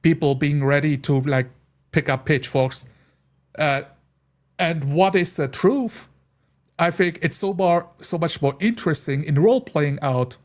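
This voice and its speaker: Man, slightly high voice, casual tabletop discussion, rambling, emphatic, voices imagined quotes. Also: a little slow. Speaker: tabletop roleplay player. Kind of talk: casual podcast-style discussion.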